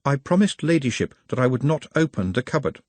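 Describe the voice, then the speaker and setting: A man's voice: medium pitch, sing-song, fairly quick, darkish tone, no echo, pitched above the performer's own voice. A man voicing a meek, weary, very polite goblin-like creature with formal, patient speech in an audiobook. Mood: hesitant, entranced. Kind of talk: audiobook character acting.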